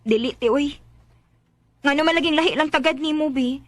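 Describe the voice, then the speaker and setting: A woman's voice: high voice, normal speed, dry recording, natural voice. A Filipino woman with a Cebuano accent acting a wounded, tender young woman in a radio drama, plaintively. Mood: plaintive, hurt. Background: music.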